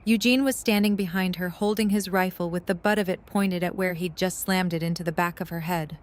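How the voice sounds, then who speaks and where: A woman's voice: fairly low pitch, fairly even intonation, fairly quick. A woman reading scripted narration for an audio drama as its storyteller.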